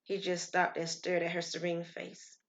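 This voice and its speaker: A woman narrating a scripted story as storyteller, in a measured, expressive way. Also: lowish voice. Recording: slightly muffled, lo-fi.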